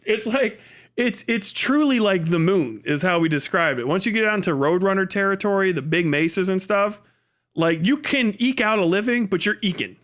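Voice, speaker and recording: A man with an American accent and a somewhat high voice that swings widely in pitch. He rambles casually as a streamer, slangy and sweary, stretching his stories with exaggeration. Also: animated, normal speed, fairly bright tone. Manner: breaks into laughter at own stories. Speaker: live streamer.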